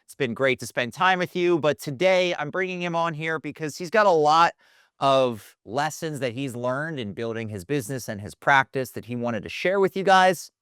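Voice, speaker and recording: A man's voice: on the high side, expressive, normal speed, fairly bright tone, clear, resonant tone, no echo, studio quality. A man hosting a podcast, introducing his guest and asking questions in a friendly, loose way. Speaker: podcast host. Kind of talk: casual interview hosting.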